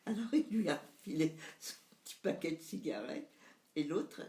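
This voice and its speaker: Very old lady telling family stories, halting, hunting for words, echoey room. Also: low voice, animated, dark tone. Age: 90s. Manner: reminiscing, hesitant, searching for words. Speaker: mother recalling family memories. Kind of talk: casual family conversation.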